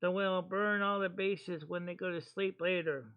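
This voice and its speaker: A man hosting a casual chat stream about tarot cards, talking in a rambling, halting way with many fillers and restarts. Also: high-pitched, steady tone, clear, resonant tone, dry recording, dull audio. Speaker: host.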